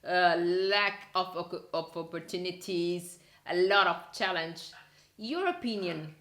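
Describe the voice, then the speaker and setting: A woman hosting an interview who speaks haltingly, with frequent restarts and loose phrasing. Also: fairly low pitch, lively intonation, fairly bright tone, reverberant. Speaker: interview host. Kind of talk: interview questions.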